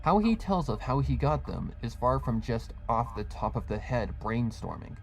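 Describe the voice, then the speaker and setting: A man with a mid-pitched voice narrates a scripted horror tale. He reads as a storyteller, setting out scenes and characters in prose. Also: animated, normal speed, gravelly, a little mellow, faint music behind, poor audio.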